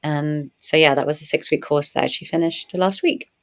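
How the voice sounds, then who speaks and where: A woman speaking as a guest in an interview, reflective and earnest, growing animated when she tells stories. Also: low voice, fairly quick. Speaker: podcast guest, medicine woman. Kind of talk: conversational interview.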